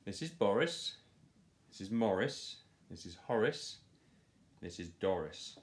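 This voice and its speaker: A man in his thirties reading a picture book aloud to children in a playful, expressive storytelling style. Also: on the low side, unhurried. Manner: animated, playful delivery. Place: small living room, on a sofa indoors. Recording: roomy home echo.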